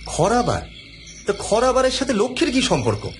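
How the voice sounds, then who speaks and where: An irritable, high-pitched office worker. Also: male voice, wildly swooping pitch, normal speed, soft background music, heavily acted. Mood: puzzled, defensive. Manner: complaining, stammering, argumentative. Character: irritable, indignant middle-class male office worker. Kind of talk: audio drama acting.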